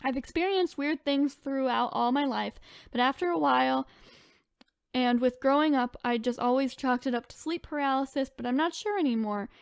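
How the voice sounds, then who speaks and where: A woman's voice: sits high, normal speed, vocal fry, clean tone, dry recording, studio quality. A woman co-hosting a podcast, reading online stories aloud and chatting in an informal, conversational way. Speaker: podcast co-host.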